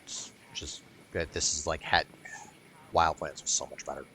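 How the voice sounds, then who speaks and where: Low-voiced man giving wry game commentary. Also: fairly even intonation, bright, forceful tone, a little hiss or hum. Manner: wry, halting, unimpressed. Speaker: gaming video creator. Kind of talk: casual game playthrough commentary.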